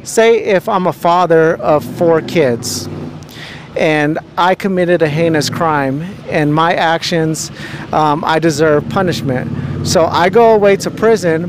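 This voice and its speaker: A man's voice: fairly high pitch, background noise. A young man with a handheld mic interviewing people outdoors on a city street, talking earnestly and preachily with many fillers and restarts.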